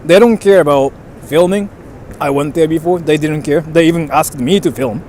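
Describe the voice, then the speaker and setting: A young man with a slightly high voice talks through a casual outdoor live stream, rambling from topic to topic and reading out viewer comments. Faint traffic and street noise run underneath his voice. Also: moderate pace, a little mellow. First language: bilingual English and Japanese.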